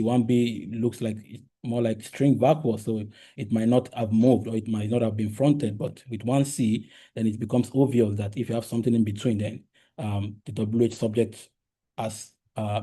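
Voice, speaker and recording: Dark-voiced Yoruba-speaking man giving a talk. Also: fairly low pitch, level tone, husky. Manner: halting, many fillers and restarts, checks in with "right?". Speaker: linguistics researcher presenting a talk. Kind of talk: academic conference talk. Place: lecture room.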